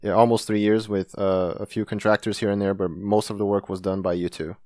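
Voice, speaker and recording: A man's voice: on the low side, flat delivery, a little fast, darkish tone, no echo, clean studio sound. A man hosting a podcast, a game translator whose first language is Brazilian Portuguese, chatting in a friendly, enthusiastic way. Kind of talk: casual podcast interview.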